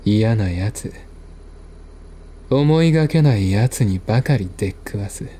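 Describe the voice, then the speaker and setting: A man reading a mystery novel as narrator, with measured, deliberate, dramatic storytelling. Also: on the low side, lively intonation, mellow, a little hiss or hum. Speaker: narrator and reader.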